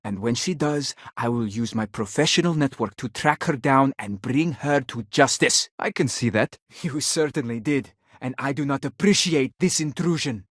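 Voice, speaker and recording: An actor playing a wealthy, stubborn, indignant father in a video game, speaking in a formal, exasperated way with a husky voice. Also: medium pitch, moderate pace, hardly any pauses, a bit bright, no room sound, studio quality, close to an everyday voice. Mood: indignant, threatening. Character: wealthy, indignant, stubborn father hunting his runaway daughter.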